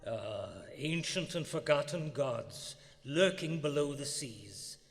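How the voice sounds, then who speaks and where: Man as theatrical horror host, lively pitch. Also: slow pace, vocal fry, faint music behind, exaggerated voice. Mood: relishing. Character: macabre radio horror host relishing eerie tales. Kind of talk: old-time radio horror hosting. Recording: reverberant live theater stage.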